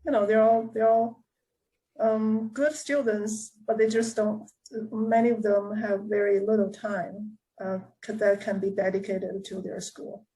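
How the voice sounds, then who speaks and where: An American woman speaks in a mid-pitched voice over a video call. She describes her engineering students haltingly, with frequent ums and restarts. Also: little pitch movement, dark tone, low-quality recording. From United States. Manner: hesitant, many ums and restarts. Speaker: community college engineering instructor.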